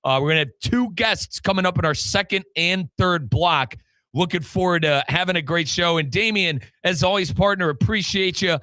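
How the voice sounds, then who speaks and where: An American man hosting a sports radio show with energetic, announcer-style delivery. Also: sits high, normal speed, no echo. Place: radio studio.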